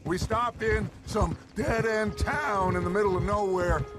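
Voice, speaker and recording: An actor playing a confident, genial middle-aged outlaw gang leader in a western game, with a grandiose, wordy, commanding manner. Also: male voice, high voice, measured pace, over music. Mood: amused, storytelling. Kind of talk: cinematic western game acting.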